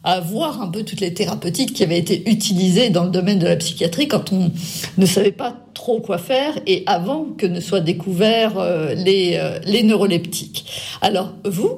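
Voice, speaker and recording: A woman's voice: mid-range voice, expressive, normal speed, a little mellow. An older woman interviewing an author about her novel, speaking hesitantly with many fillers and restarts. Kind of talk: radio book interview.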